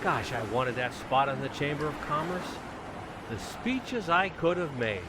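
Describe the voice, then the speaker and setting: Man acting an animated, earnest radio family man. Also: fairly high pitch, a little slow, very noisy. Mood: rueful. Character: eager, self-reproaching family man and businessman. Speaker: community theater actor. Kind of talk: vintage radio comedy acting.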